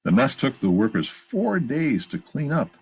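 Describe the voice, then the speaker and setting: A man's voice: medium pitch, big pitch swings, measured pace, a little mellow, breathy edge. A man reading a children's picture book aloud, expressively, with plain asides about the pictures. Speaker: storytime reader and narrator.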